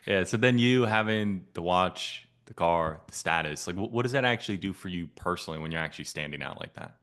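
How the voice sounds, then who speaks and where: A man's voice: sits low, a little fast, fairly bright tone. A man coaching on a sales call, asking probing, persistent questions in a casual way.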